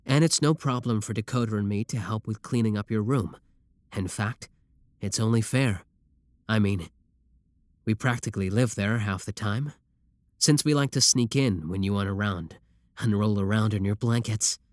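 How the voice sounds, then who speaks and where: A man's voice: lowish voice, moderate pace, no room sound, close to an everyday voice. A man playing an adoring, obsessive yandere sibling in an ASMR roleplay, reassuring and matter-of-fact about his menacing devotion. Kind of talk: scripted ASMR roleplay addressed to the listener.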